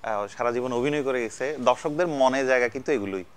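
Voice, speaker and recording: Bangladeshi guy hosting a celeb chat show, medium pitch, kinda hesitant, repeats words. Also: fast, clean tone. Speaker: TV talk show host. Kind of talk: conversational celebrity interview.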